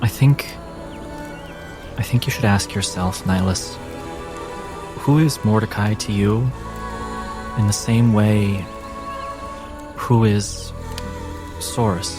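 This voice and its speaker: A man playing a gentle, grave former fighter in a tabletop game, speaking in a measured, reassuring way. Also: fairly low pitch, steady tone, music in the background. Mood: questioning, gentle.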